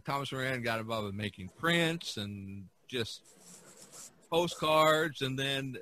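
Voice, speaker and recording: A man with a bright, forward voice talks casually as a podcast host. His storytelling is enthusiastic and animated, at an average pitch for a man. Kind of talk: casual art history discussion.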